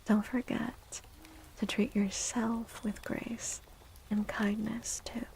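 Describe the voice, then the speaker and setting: A woman livestreamer speaking in a soft, breathy, often whispery ASMR style, gentle and soothing, close to the microphone. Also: fairly high pitch, level tone, takes it slowly, poor audio. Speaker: livestreamer reading tarot for viewers.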